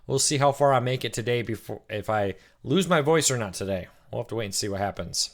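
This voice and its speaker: A man hosting a casual weekly live-stream Q&A, greeting viewers and answering their questions, his voice a little rough from cheering. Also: medium pitch, quick pace, a little hiss or hum. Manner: chatty, reads out viewer comments and greets them by name.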